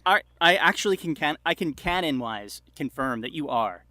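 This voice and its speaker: A man chatting casually as a podcast guest, rambling and haltingly repeating his words as he goes. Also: fairly high pitch, expressive, sharp tone, no echo. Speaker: guest, audio drama creator.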